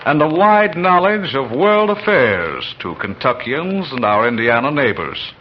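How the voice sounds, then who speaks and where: Earnest newspaper chief, radio drama, deliberate dictation, animated intonation, muffled noisy recording. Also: male voice, mid-range voice. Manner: deliberate, solemn, halting dictation. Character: earnest, high-minded newspaper publisher dictating a letter.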